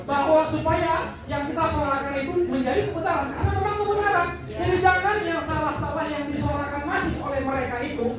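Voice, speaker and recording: A man's voice: very high-pitched, fast talker. A man working as emcee at a community gathering, energetically leading the crowd in call-and-response chants. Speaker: event emcee. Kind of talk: hyping a campaign gathering. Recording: echoey hall miked from far off. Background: lively crowd.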